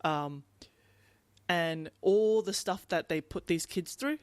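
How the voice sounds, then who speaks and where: A woman co-hosting a podcast, chatting casually about a book in an animated, rambling way and acting out reactions. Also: lowish voice, takes it slowly, dry recording. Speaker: podcast co-host.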